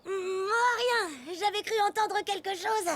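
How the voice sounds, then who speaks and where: A woman voicing an excitable, skittish little boy animal in a children's cartoon, exclamatory and melodramatic. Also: very high-pitched, slow, a little hiss or hum, full character voice. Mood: nervous.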